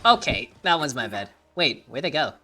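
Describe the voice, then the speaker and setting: Woman gaming and muttering along, really deep voice, swings up and down a lot. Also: very animated, normal speed, bright, forceful tone. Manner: loose, mumbling reactions, swears. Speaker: game streamer. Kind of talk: casual gameplay commentary.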